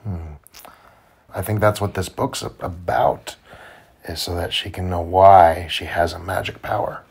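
A man reading a children's storybook aloud to his child in simple, deliberate phrasing. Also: deep voice, steady tone, breathy edge. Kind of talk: children's read-aloud narration.

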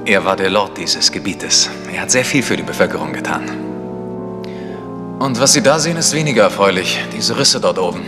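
An actor playing an earnest, worried village vicar who explains things in a 1960s radio play. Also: male voice, low voice, expressive, brisk, over music. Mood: earnest, concerned.

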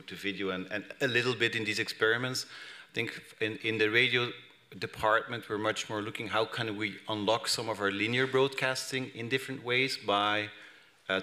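A man in his thirties from Belgium answering questions on a conference panel, halting with many restarts, speaking into a table microphone in a large hall. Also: lowish voice, bright, forceful tone. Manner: hesitant, many restarts and fillers. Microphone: table microphone on stand.

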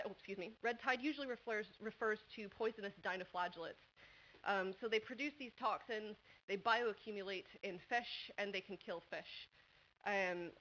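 A woman in her thirties from New England, with a New England American accent, giving a quick, chatty conference talk full of ums at a panel desk mic. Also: mid-range voice, fairly bright tone, a little hiss or hum, rough recording. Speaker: conference speaker. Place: large university lecture hall. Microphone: table microphone at a panel desk.

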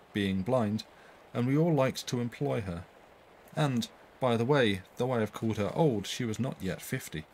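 A man reading an old-fashioned story aloud as a livestream narrator. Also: lowish voice. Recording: faint background noise.

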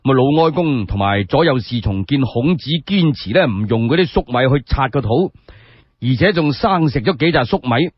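A man's voice: mid-range voice, husky, no room sound, dull audio. A man with a Cantonese accent telling historical tales as a solo radio storyteller, colloquial and dramatic.

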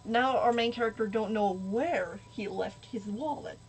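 A woman's voice: on the high side, a little slow, over music, poor audio. A young woman chatting casually as the host of a gaming video, recorded in a room indoors. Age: young adult. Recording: noticeable background noise.

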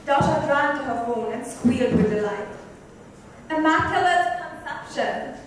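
A woman's voice: high voice, animated, unhurried. A woman dramatically reading a novel's dialogue and narration aloud. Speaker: reader. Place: club lounge, indoors. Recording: echoey room, far mic, faint noise.

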